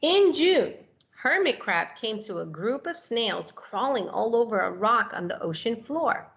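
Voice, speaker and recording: A woman reading a picture book aloud to children, animated and expressive, with questions for young listeners. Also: fairly high pitch, measured pace, gravelly. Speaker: story reader for children.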